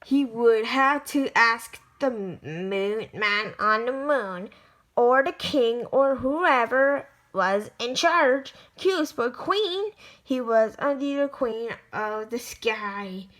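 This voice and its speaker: A woman reading a children's picture book aloud, storytelling with lively emphasis and the occasional stumble. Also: on the high side, slow.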